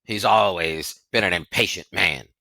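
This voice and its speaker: A man voicing a huge, gruff, bearded protector in an audiobook, blunt and scolding but kindly. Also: sits low, measured pace, sharp tone, no room sound, exaggerated voice, rough recording. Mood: low muttering. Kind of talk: audiobook character voice.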